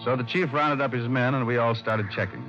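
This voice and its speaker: An actor playing a shrewd, confident private detective, wry and colloquial, in an old-time radio drama. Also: male voice, mid-range voice, fairly quick, a bit bright, soft background music, lightly acted. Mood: grim, dry. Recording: dull vintage radio recording.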